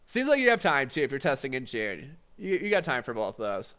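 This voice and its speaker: A man's voice: mid-range voice, huge pitch swings, brisk, a bit bright. Congested man teaching a live study stream. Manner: casual, reads chat questions aloud, filler-heavy. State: congested, voice fading. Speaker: exam prep instructor.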